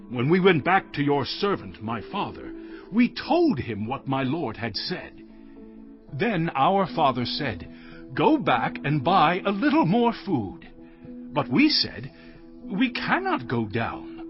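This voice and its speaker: Casting: earnest biblical son, humble and pleading, highish voice with expressive swings, music behind. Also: male voice. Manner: pleading, deferential, emotive. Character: Judah, earnest grown son pleading humbly before a lord. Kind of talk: dramatized scripture audio acting. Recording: slightly dull recording under music. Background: clear background music.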